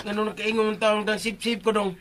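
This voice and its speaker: Goofy cebuano driver in radio drama, cheeky and eager, sharp bright voice. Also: male voice, high-pitched, level tone, fast talker. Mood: chatty. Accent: Cebuano Filipino. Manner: jokey, prattling, deferential. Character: cheeky, bumbling family driver, eager to please. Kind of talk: Filipino radio drama acting.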